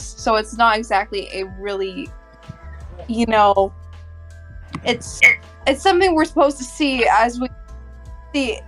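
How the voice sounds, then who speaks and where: A woman chatting casually on a group podcast, explaining things in a halting way. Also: on the high side.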